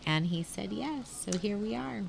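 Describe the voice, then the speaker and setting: A woman, founder of a youth culinary program, telling stories in a casual interview and quoting herself in lively asides. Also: mid-range voice, unhurried, creaky, loud music behind the voice.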